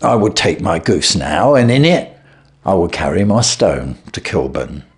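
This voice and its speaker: A man reading aloud and voicing a frightened male thief who begs for mercy and then confesses. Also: a little slow. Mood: confessing. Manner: shrill, begging, then steady confession. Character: desperate male hotel thief, pleading and frightened. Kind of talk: reading a classic story aloud.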